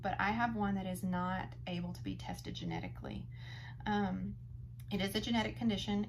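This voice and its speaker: Woman, 30s, earnest vlogger testimonial, a little gravelly, faint room hiss behind. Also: mid-range voice. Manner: earnest and empathetic, speaks directly to the viewer. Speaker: vlogger sharing her chronic pain story. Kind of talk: heartfelt personal testimonial.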